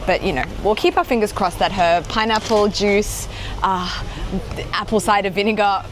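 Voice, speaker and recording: A woman's voice: medium pitch, normal speed, noisy background. A woman with an Australian accent hosting a casual vlog, chatty and playful. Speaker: vlog host. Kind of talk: casual vlog narration and chat. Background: music.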